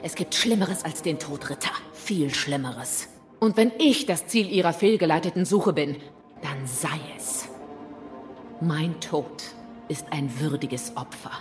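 An actress playing a proud, composed female rebel leader in a German audio drama, speaking formally with measured defiance. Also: sits low, faint music behind. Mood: grave, resolute.

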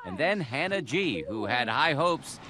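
A man's voice: mid-range voice, sing-song, a little slow. An American man reading scripted TV news narration in a dramatic headline style. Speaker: TV news reporter. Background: clear music bed.

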